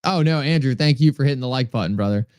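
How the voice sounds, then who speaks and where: A man's voice: mid-range voice, fast talker, a little mellow, smooth, no echo, studio quality. Chatty New England man hosting a livestream. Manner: chatty, reads viewer comments aloud and thanks them by name. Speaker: livestream host. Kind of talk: casual livestream talk answering chat.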